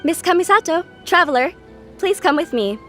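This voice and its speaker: A woman's voice: very high voice, lively intonation, normal speed, a bit bright, soft background music. A woman playing a polite young female event announcer in a video game, courteous and formal. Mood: formal, announcing.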